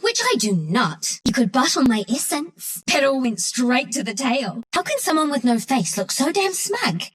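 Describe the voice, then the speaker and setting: Mischievous small furry game critter, highish bouncy voice, cocky slangy sharpshooter. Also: female voice, husky. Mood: smug. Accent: Australian. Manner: cheeky, breezy, slangy. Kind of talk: video game character voice lines.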